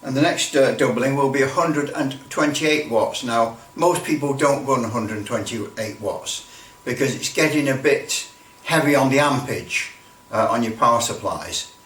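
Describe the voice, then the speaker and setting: Man, mid-pitched voice, informal hobby demo, repetitive explanatory delivery, roomy echo. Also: normal speed, fairly bright tone. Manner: explanatory, repeats himself, talks through each step. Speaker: presenter of a hobby demonstration. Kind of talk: informal step-by-step demonstration. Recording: roomy echo, distant microphone.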